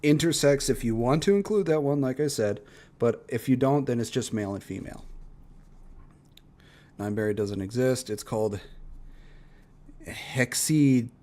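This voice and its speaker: A man's voice: medium pitch, normal speed, long pauses, warm tone. A man doing casual reaction commentary as a streamer, talking bluntly and swearing often. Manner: blunt, profane ranting with frequent swearing.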